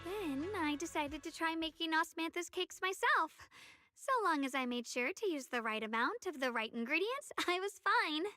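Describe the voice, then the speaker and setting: A woman's voice: very high-pitched, lively intonation, moderate pace, bright, forceful tone, dry recording, heavily acted. An actress voicing a sweet, eager young woman, a martial-arts disciple in a video game. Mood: nostalgic. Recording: game audio with faint music.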